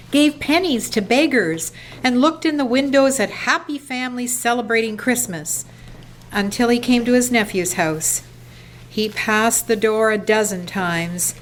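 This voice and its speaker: A woman's voice: fairly high pitch, a little hiss or hum, poor audio. A woman narrating a classic story as scripted storybook narration for a radio play.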